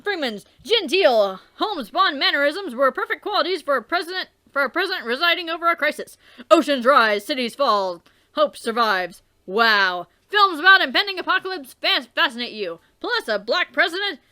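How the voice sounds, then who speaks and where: A young woman with a very high, bright voice reads a webcomic aloud in a theatrical way, her pitch jumping all over the place. She swears freely and drifts into offhand, rambling asides as she hosts a let's play. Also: very animated, normal speed. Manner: theatrical reading, swears and trails off. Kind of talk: reading a webcomic aloud with commentary.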